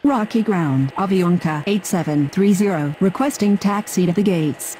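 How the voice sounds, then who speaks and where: A woman's voice: lowish voice, moderate pace, dark tone, natural voice, low-quality recording. A woman voicing air traffic control and pilot calls in a game, using clipped, procedural scripted radio phraseology. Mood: businesslike.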